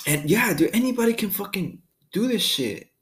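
A man's voice: sits high, lively intonation, moderate pace, very noisy. Young man, podcast host, casual, profane, rambling, laid-back. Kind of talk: casual solo podcast chat.

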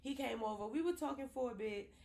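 A woman's voice: fairly high pitch, animated, fairly quick, a little mellow. A young woman co-hosting a podcast, frankly telling profane stories in a casual chat full of "like". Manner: frank, profane, storytelling with lots of "like". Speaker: podcast co-host.

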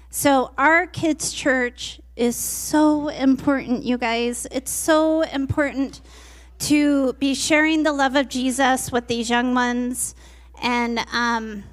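Lady pastor praying, slow and high, kinda hesitant. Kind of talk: church announcements and prayer.

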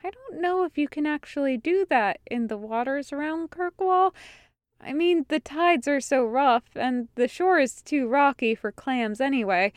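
A woman's voice: high voice, unhurried, no echo, voice pushed up. A woman voicing an innocent, anxious young woman in a story, flustered, hesitant and eager to please. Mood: hopeful. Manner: flustered, hesitant, literal-minded.

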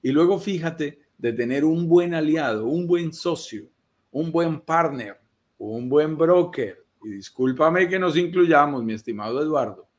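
A man giving investment advice on a live video call, measured and persuasive, laying out his points as lists. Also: fairly high pitch, animated. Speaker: real estate investment advisor. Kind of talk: explanatory live-stream talk.

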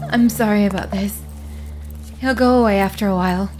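A woman's voice: mid-range voice, brisk, background noise, lightly acted. A woman playing a beguiling, mysterious young woman in an audio drama, guarded and evasive, speaking in short phrases. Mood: uneasy. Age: young adult. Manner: terse, evasive.

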